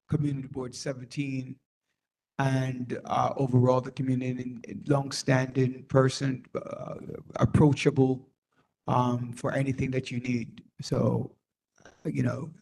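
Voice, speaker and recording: A community board member, a man, offering halting, supportive remarks at a meeting. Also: medium pitch, vocal fry, a little mellow, low-quality recording.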